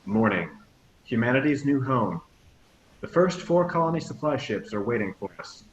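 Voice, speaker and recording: A man voicing a warm, commanding captain in his fifties, giving a measured speech in a table read. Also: medium pitch, animated, lightly acted. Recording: echoey, muffled, hissy audio.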